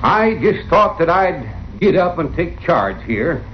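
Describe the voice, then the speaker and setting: A man's voice: on the high side, big pitch swings, slow pace. A man playing a crooked, folksy small-town western parson, glib and blustering, in old-time radio style. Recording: dull, hissy old radio recording.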